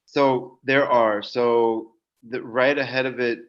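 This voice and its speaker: A man co-hosting a film podcast, chatting casually in a measured, slightly hesitant way. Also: mid-range voice, fairly even intonation, muffled recording. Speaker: podcast co-host.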